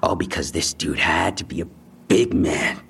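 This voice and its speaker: An actor playing a defensive young robbery suspect in an audio drama, with husky, slangy, evasive talk and tough posturing over fear. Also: male voice, sits low, steady tone, normal speed, a bit bright, slight background noise. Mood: bitter, resentful. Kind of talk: naturalistic audio drama acting.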